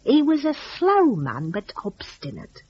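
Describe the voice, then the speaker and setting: Woman storyteller, gossipy folksy telling, really lively pitch, slightly muffled recording. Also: a little slow, husky. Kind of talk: dramatic scripted story narration in folksy dialect.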